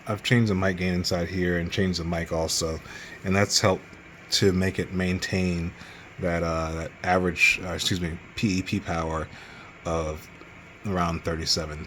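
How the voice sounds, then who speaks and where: A man's voice: sits low, a bit bright, slight background noise. A man giving a casual, rambling, unscripted hands-on radio gear review in a matter-of-fact way. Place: radio bench, indoors.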